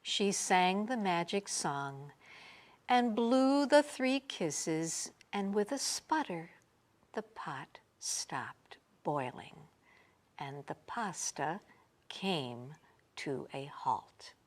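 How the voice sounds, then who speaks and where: Very animated, unhurried woman storyteller. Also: on the low side. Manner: expressive, theatrical, drawn-out phrasing with hushed drops. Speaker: storybook reader. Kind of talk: dramatic children's read-aloud narration.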